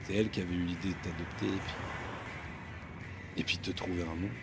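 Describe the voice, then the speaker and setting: A man's voice: low voice, steady tone, quick pace, over loud music. A man playing a young cat owner who talks to his pet while driving, rambling and stammering, with the odd swear word. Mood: tender. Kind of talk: audio drama acting.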